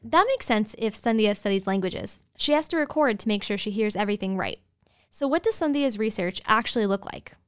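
A woman's voice: mid-range voice, animated, brisk, clear, resonant tone. A woman co-hosting a podcast, asking the guest's questions in an upbeat, scripted way. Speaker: podcast co-host.

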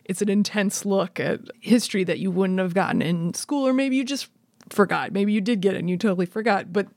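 Woman, somewhat low voice, thoughtful analytical chat, measured rephrasing, dry recording. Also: a little mellow, no echo. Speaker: podcast discussion participant. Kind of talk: casual analytical discussion.

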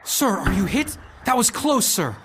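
An actor playing a loyal Roman soldier, a captain's devoted aide, earnest and dramatic in an audio drama. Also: male voice, sits high, very animated, measured pace. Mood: urgent, alarmed. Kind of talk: full-cast audio drama acting and narration. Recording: music and noise behind drama.

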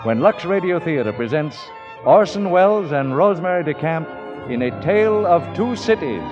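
A man's voice: on the high side, animated, moderate pace, music in the background. Male old-radio host, dull band-limited sound. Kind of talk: scripted 1940s radio hosting. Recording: dull 1940s radio recording.

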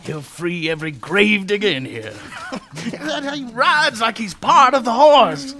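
A man's voice: sits high, animated, slow pace, sharp tone, heavily acted. A man acting a kindly gravedigger and horse trainer in a radio drama, folksy and coaxing. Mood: excited, delighted. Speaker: actor.

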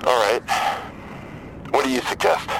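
A man playing a grieving, despairing father calling a crisis line in an audio drama, halting, weary and bitter. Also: noisy background, close to an everyday voice. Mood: bitter, skeptical. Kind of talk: naturalistic audio drama acting.

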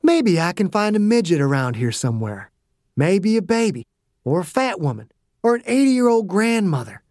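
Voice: mid-range voice, big pitch swings, moderate pace, a little mellow, dry recording, studio quality, pitched above the performer's own voice, natural voice. A reader voicing a tough, older male fighter who goads his opponent with a sneer. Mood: taunting. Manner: sneering, goading. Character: taunting tough older man, ex-soldier type. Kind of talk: audiobook character voice.

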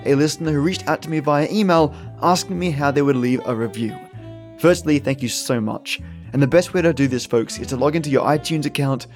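A man's voice: mid-range voice, darkish tone. A male podcast host wrapping up an episode of old-time radio. He talks in an ordinary mid-range voice, playful and generous with praise. Faint music plays behind him. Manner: effusive, playful, grateful. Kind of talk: podcast outro with listener thanks.

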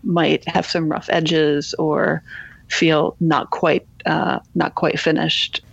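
A woman editor being interviewed on a podcast, answering in a thoughtful, measured way and often restarting her phrases. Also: sits low, flat delivery, creaky, slightly rough, dry recording, lo-fi sound. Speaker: literary magazine editor, guest.

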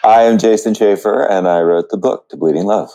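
A man answering as an interview guest, reflective and hesitant, often halting as he thinks. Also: fairly low pitch, warm tone, rough recording. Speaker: interview guest, musical book writer.

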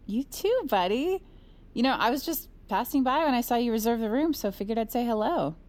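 A woman's voice: fairly high pitch, expressive, brisk, darkish tone, natural voice. A woman playing a chummy superior agent in an audio drama, talking in a casual, wry, coaxing way. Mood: chummy, confiding. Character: breezy, chummy, sly superior female agent. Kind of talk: scripted audio drama acting.

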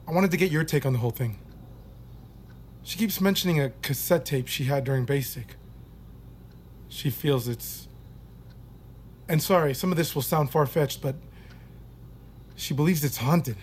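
Man playing a probing military doctor, higher-pitched voice. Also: slight background noise, natural voice. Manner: measured, hesitant, coaxing. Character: probing, uneasy male military doctor interviewing an accused recruit. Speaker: voice actor in audio fiction. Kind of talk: naturalistic audio drama acting.

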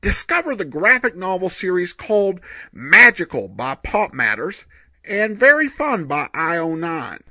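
A male podcast host with a slightly high voice gives commentary. His pitch swings in a lively way, with earnest, uneven emphasis. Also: measured pace. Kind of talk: podcast commentary and sponsor read.

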